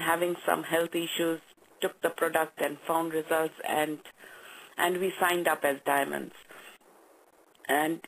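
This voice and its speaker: A woman from Kenya telling her own story as a guest on a business call, pausing often with hesitations. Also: sits low, slight background noise, low-quality recording. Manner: hesitant, with frequent "uh" pauses. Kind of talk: personal story.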